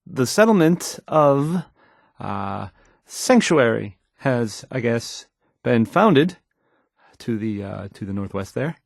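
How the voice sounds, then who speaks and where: A man's voice: mid-range voice, big pitch swings, gravelly, no echo, studio quality, exaggerated voice. A man playing a timid, awkward young radio DJ in a video game, hesitant and apologetic as he stammers through the news in a shaky voice. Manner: stammering, halting, apologetic, trailing off. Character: timid, awkward young male radio DJ, anxious and unsure of himself.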